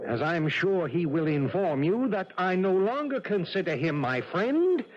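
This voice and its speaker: A man's voice: high voice, sing-song, measured pace. A man playing a proud, secretive painter who speaks imperiously and cuts people down, in a 1970s radio drama. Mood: cold. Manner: imperious, sharp-tongued. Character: proud, secretive male painter guarding his box. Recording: old radio broadcast, hissy, muffled.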